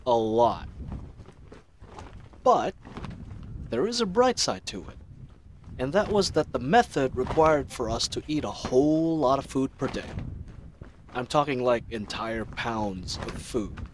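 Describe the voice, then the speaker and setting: An idealistic knight captain voiced by a man speaks in a mid-pitched voice, earnest and given to rambling. It is a fantasy audio drama monologue, reflective yet playful, with clear ambient noise laid behind it. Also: animated, unhurried, long pauses, close to an everyday voice. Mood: animated, rambling. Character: earnest, chatty young male knight captain, idealistic and teasing. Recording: noisy ambient sound effects bed. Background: added outdoor ambience sound effects.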